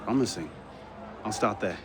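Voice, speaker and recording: An actor playing a dry, determined young assassin in a video game cutscene, speaking tersely. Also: male voice, on the low side, expressive, normal speed, noisy background, natural voice. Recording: game soundtrack with music behind.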